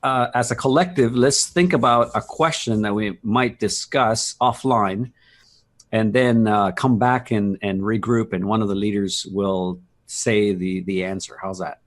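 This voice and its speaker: A man presenting on a video call, explaining casually and haltingly as he thinks aloud. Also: fairly low pitch.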